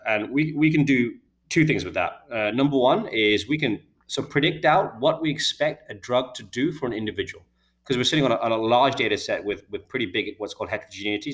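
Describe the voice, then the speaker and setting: A British man speaks quickly in a somewhat low voice, tumbling through his answers with repeated words and restarts. He sounds like a founder in his thirties being interviewed. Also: fairly bright tone. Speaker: healthcare data company founder.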